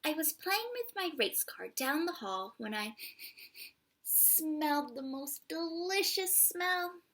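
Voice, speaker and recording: A woman's voice: sits high, animated, takes it slowly, a bit bright, voice pushed up, exaggerated voice. A woman voicing an eager, polite little boy in a storybook, wide-eyed and sighing with delight over food.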